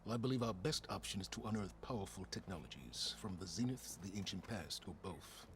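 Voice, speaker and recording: An actor playing a grave, calculating older male scholar in a video game, speaking in a measured, formal way. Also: low voice, expressive, a little hiss or hum. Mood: cautious. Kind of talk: video game cutscene dialogue.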